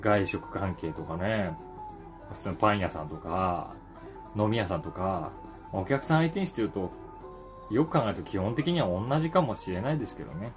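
A man in his forties chatting casually and reflectively to the camera, pausing to think as he goes. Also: on the low side, lively intonation, music in the background. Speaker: voice actor and stage actor, channel host. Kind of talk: casual solo talk to camera, daily column.

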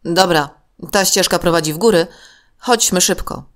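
A woman's voice: on the low side, normal speed, lightly acted. Woman acting a young boy, children's tale, serious, level-headed, earnest, worried. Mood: urgent.

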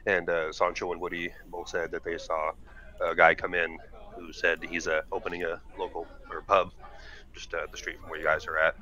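A man running a tabletop game as game master, recapping in a loose, halting way with many fillers. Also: deep voice, flat delivery, sharp tone. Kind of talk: casual tabletop game session over voice chat. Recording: dull lo-fi voice chat with faint hiss.

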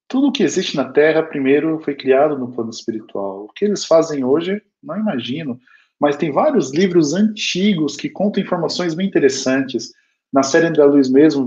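Man, thirties, Brazilian accent, informal lecture, explanatory, conversational, medium pitch, dull lo-fi video call. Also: darkish tone. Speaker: spiritist lecturer. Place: small home room with bookshelves.

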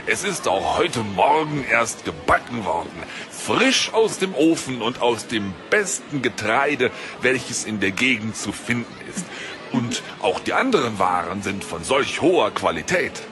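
A man's voice: medium pitch, very animated, a little slow. A man playing a wheedling, haughty yet easily flustered bread merchant in a fantasy audio drama. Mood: salesmanlike. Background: music.

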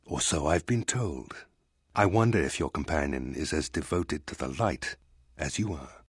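Deep, husky villainous admiral. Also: male voice, lively intonation, no echo, clean studio sound, heavily acted. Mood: smug, menacing. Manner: formal, deliberate, condescending. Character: menacing, smug older male Sith fleet admiral, cold interrogator. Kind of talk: dramatic video game acting.

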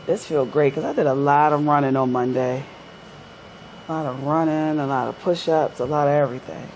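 Woman, middle-aged, lowish voice, playful casual banter, some background noise. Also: brisk, darkish tone, low-quality recording. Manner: joking, teasing. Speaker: chiropractic client. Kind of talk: casual banter during a session. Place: large indoor treatment studio, lying on table.

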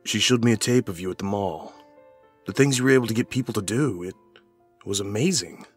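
An actor playing an irritable, evasive husband in an audio horror drama, with a husky voice. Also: male voice, on the low side, expressive, brisk, soft background music. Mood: pleading, uneasy.

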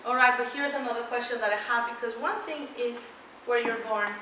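A lively woman from Mexico, middle-aged, addresses a roomful of students from the front of a big lecture hall, her bright, forceful voice leaping up on key words. She is caught from across the room, so it rings with a strong echo. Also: on the high side, a little fast, a little hiss or hum. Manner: energetic, emphatic, laughs often, walks while talking. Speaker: keynote speaker. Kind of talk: motivational presentation to students. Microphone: far room pickup. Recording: echoey hall from far off.

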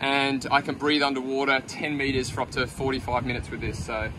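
A man's voice: mid-range voice, fairly quick. A young Australian man vlogging his treasure hunt, chatty and eager, laughing now and then. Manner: excitable, gasping and laughing at finds. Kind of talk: casual vlog narration. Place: outdoors by a flooded quarry, in a van, and underwater. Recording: noisy outdoor camera audio.

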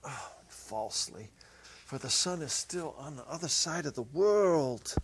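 A middle-aged man narrating a short story as a dramatic reading, theatrical, with deliberate pauses and shifts in intensity. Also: on the high side, lively intonation, a little hiss or hum. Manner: theatrical, varying from hushed to emphatic, with deliberate pauses. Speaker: narrator, reader. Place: indoor stage set, seated at a music stand. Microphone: clip-on lapel mic.

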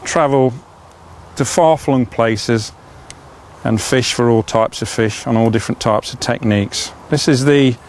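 A man's voice: mid-range voice, measured pace, a little hiss or hum. A middle-aged man, chatting enthusiastically as he demonstrates fishing rods, with frequent "uh" fillers. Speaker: fishing tackle presenter. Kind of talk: product demonstration.

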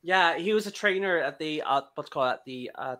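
A man with a Welsh accent in casual group chat while streaming a wrestling game, chatty and quick to laugh. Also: fairly high pitch, lively intonation, bright, forceful tone. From Wales. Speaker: gaming streamer.

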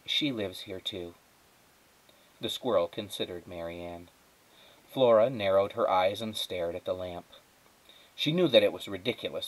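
A man's voice: on the low side, poor audio. A man reading a children's storybook aloud as a teacher, lightly acting out the dialogue.

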